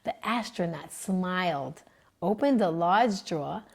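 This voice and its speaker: A woman librarian reading a children's book aloud, gentle and expressive in her storytelling. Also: on the low side, measured pace, gravelly.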